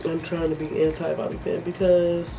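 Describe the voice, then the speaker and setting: A woman's voice: sits low, level tone, moderate pace, dark tone, soft background music, poor audio. A woman giving a casual hair tutorial, chatty and joking, sniffly with a head cold. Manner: chatty, joking, talks to herself. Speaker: hair vlogger.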